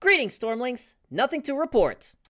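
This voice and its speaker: A chipper video game gatekeeper guard, acted out by a woman, pitched high with a bouncy swing. The greeting is dutiful and upbeat, delivered like a routine report. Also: very animated, moderate pace. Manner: bright salute-like greeting, formulaic reporting.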